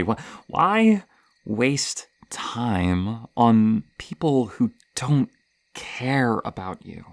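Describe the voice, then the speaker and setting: A man playing a gleeful, hesitant serial killer villain toying with prey in a horror roleplay, stammering and pausing dramatically between breathy sighs. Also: lowish voice, full character voice. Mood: sly, menacing. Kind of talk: ASMR horror roleplay monologue.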